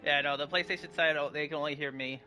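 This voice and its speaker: A man streaming a game, with a very bright, forceful voice on the higher side. He talks informally and rambles, with faint game music behind him. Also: fairly even intonation, fast. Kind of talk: casual chat while livestreaming.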